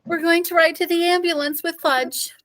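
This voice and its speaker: A woman's voice: extremely high pitch, steady tone, normal speed, fairly bright tone. A woman voicing a frantic, tearful mother in a dramatized children's storybook reading, urgent and wailing.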